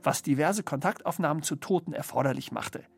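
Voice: mid-range voice, brisk, creaky, breathy edge, faint music behind. An actor playing a sober, questioning observer in a literary radio play, phrasing with deliberation. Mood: grave, questioning. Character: sober, questioning observer reporting on social unrest.

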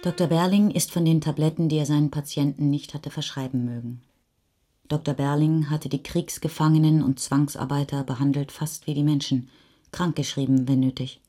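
A woman with a husky voice narrating literary prose for a radio feature. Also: deep voice, steady tone, moderate pace, dark tone, soft background music.

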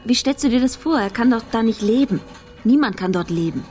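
An actress playing a weary, exasperated police investigator in a radio drama, speaking in terse, clipped sentences. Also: female voice, on the high side, lively intonation, fairly quick, darkish tone, faint music behind, close to an everyday voice. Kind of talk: radio drama monologue and dialogue.

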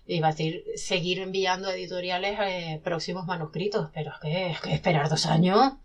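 Chatty novelist woman, lowish voice. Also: a little fast, crowd noise. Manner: animated, talkative, many restarts and laughs. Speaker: self-published novelist guest. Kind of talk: casual podcast conversation.

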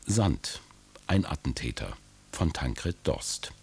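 A man giving measured, documentary-style scripted narration on history for a radio drama. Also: very deep voice, big pitch swings, vocal fry, darkish tone, husky.

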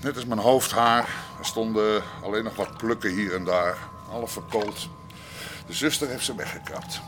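An actor playing a boastful, messianic convict who preaches grandly and talks about himself by name in a radio play. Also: male voice, on the low side, normal speed, lightly acted. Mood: bitter, theatrical. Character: burn-scarred, boastful, messianic male prisoner with fascist leanings. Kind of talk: Dutch radio play acting. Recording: noisy radio drama recording.

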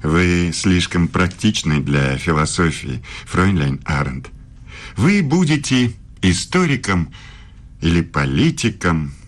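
Deep, imperious male professor. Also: big pitch swings, takes it slowly, exaggerated voice. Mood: teasing, courtly. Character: self-assured middle-aged German philosophy professor, courtly and imperious. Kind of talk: radio play acting.

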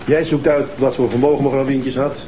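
Casting: male actor for blunt, stubborn police detective in a TV drama. Dry, curt, quick delivery over clearly noisy sound. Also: medium pitch, little pitch movement, dark tone, lightly acted. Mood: brisk, businesslike. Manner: dry, curt, giving orders. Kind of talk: naturalistic TV crime drama dialogue.

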